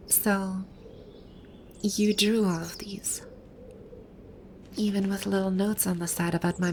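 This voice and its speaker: A woman's voice: on the low side, vocal fry, a little hiss or hum. A woman voicing a haughty, bossy video-game villainess who stammers and halts when flustered, in an ASMR roleplay. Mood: bewildered. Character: haughty, flustered female video-game boss brought to life. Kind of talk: ASMR roleplay audio drama.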